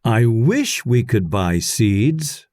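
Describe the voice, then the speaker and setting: A man voicing a practical, determined young girl in a children's storybook, with plain, deliberate phrasing. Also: medium pitch, big pitch swings, a little mellow, no echo, clean studio sound. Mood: wishful.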